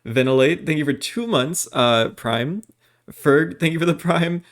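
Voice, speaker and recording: A man's voice: a little high, measured pace. A male streamer chatting while he plays and reading out thanks to his viewers one by one. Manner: reads out thanks for subscriptions in a flat list. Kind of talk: casual gaming livestream chat.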